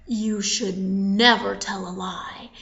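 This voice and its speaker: A woman's voice: mid-range voice, fairly even intonation, slow, creaky, a bit bright, lo-fi sound, pitched below the performer's own voice. A woman voicing alarmed, scolding villagers in a children's story. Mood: stern, scolding.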